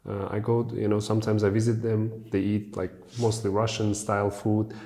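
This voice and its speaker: Mellow, low-voiced male podcast host. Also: normal speed. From Kazakhstan. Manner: lively, anecdotal storytelling with frequent "you know". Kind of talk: casual conversation.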